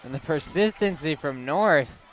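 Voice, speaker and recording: A man's voice: on the high side, animated, normal speed, soft background music, a faint crowd. A young man giving live color commentary on a sports game.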